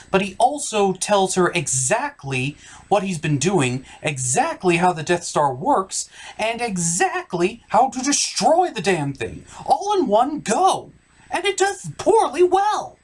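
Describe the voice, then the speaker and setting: A woman's voice: mid-range voice, slightly rough. A woman giving scripted comedic movie commentary with a sarcastic, exasperated manner. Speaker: comic movie reviewer.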